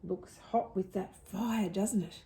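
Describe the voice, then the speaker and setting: A woman reading a picture book to young children, pausing to ask the listeners questions. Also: mid-range voice, fairly even intonation, warm tone, slight background noise. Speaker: storyteller.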